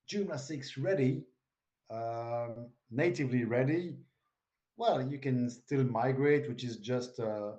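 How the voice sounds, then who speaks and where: A man's voice: mid-range voice, warm tone. Belgian man with a French accent, echoey. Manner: hesitant, lots of fillers while sharing screen. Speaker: web developer guest. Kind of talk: casual video-call interview. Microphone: video call.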